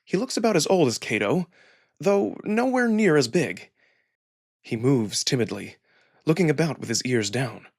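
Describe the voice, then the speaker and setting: A man with a husky voice reading first-person fiction aloud as a dramatic narrator. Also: fairly high pitch, big pitch swings, normal speed, no room sound, clean studio sound. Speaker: narrator and performer of a visual novel reading. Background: background music.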